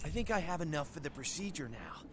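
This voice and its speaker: A man's voice: a little high, huge pitch swings, fast, fairly bright tone. An actor playing a timid, gullible young man in a video game, speaking hesitantly. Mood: hesitant, eager. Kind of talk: video game character dialogue.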